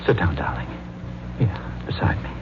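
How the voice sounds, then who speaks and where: An actor playing a distinguished, coldly calculating judge nearing fifty in 1940s radio style, measured and formal. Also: male voice, whispered, close to an everyday voice. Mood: ardent. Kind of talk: 1940s radio acting with first-person narration. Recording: dull old radio recording, music behind.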